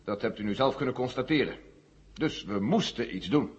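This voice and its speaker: A man acting a sober, authoritative general in a Dutch radio play, answering in a measured, formal way. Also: mid-range voice, animated, lightly acted. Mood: grave, frank. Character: frank, sober American general leading a space agency. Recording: dull 1970 radio recording, echoing hall.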